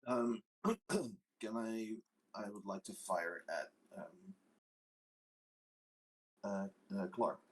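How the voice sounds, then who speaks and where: A man speaking as a tabletop game player, casual and a little hesitant, with a deadpan manner. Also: fairly low pitch, very slow, long pauses, breathy edge, distant mic, rough recording.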